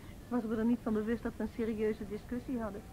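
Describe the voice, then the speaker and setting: A woman playing a sarcastic, sharp-witted, defiant young woman in a radio drama, mocking and pointed. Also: fairly high pitch, little pitch movement, fast talker, natural voice. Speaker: actor in a radio play. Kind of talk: Dutch radio drama acting, naturalistic dialogue. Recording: faintly noisy old radio play recording.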